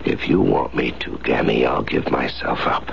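An actor playing a gentle, remorseful hunted man in a radio drama, with a gravelly voice and a halting, earnest manner. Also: animated, exaggerated voice. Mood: remorseful, resigned. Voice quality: deep, gravelly. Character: weary male fugitive, gentle, remorseful, hunted. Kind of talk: 1970s radio drama acting. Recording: dull, noisy 1970s radio recording.

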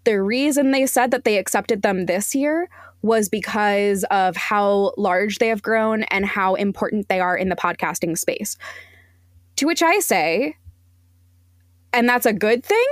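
A woman's voice: medium pitch, unhurried, no echo. Woman guest in a dry, close recording. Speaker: podcast interview guest. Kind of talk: opinionated discussion.

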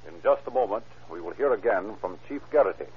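A man's voice: on the low side, expressive, fast, breathy edge, soft background music. An American man announcing and reading scripted ads on 1930s radio in a formal, polished salesman's delivery. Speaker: announcer and narrator. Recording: muffled old radio broadcast.

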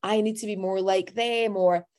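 A woman's voice: mid-range voice, steady tone, moderate pace. A woman in her forties hosting a podcast, talking with open enthusiasm and affirming her guest in a casual interview. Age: 40s. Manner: warmly enthusiastic toward guest. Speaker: podcast host.

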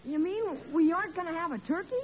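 A woman's voice: very high-pitched, fast talker. A cheeky young boy Junior. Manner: wisecracking, needling his father. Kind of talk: 1940s radio acting. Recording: dull lo-fi old radio recording with hiss.

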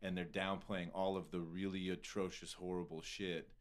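A man's voice: sits low, flat delivery, normal speed. An American man hosting a casual podcast conversation, rambling and digressive, full of filler words and swearing. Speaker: podcast host.

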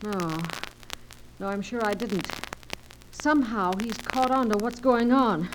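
A woman's voice: on the high side, a little slow, lightly acted. An actress playing a plucky, worried female adventurer in an old radio serial, earnest and urgent. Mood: tense, steady. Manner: earnest, urgent, crisp pleading. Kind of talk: 1940s-50s radio adventure serial acting. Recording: crackly old radio recording.

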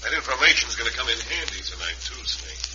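An actor playing a scheming, bossy young bank robber in an old Western radio drama, speaking coldly. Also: male voice, medium pitch, lively intonation, quick pace, close to an everyday voice. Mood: curt, smug. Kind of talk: 1950s Western radio acting. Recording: dull, very noisy old radio recording.

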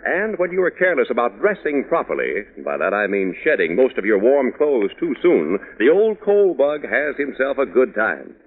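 Animated, chummy old-time radio announcer. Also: male voice, medium pitch, moderate pace, hardly any pauses. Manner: chummy, folksy, addressing youngsters. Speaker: radio announcer, sponsor pitchman. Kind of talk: 1940s radio commercial patter. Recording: muffled old radio recording.

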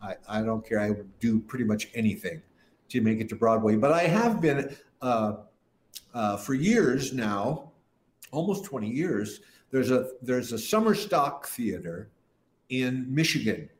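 A man's voice: mid-range voice, lively intonation, measured pace. An older man, a guest musician, telling long, rambling stories in an interview and often restarting his sentences. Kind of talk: casual interview storytelling. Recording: roomy echo.

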